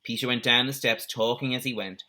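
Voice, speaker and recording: A man with a bright, forceful voice and a middling pitch reads a children's adventure story aloud. He sounds like a teacher at storytime, expressive and given to small explanatory asides. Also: a little fast.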